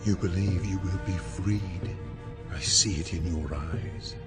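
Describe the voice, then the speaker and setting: A man's voice: low-pitched, slow, voice pushed down. A man voicing a cruel, commanding fantasy villain in a radio drama, imperious and menacing. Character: cruel, commanding villain leader berating minions. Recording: loud dramatic music behind voice.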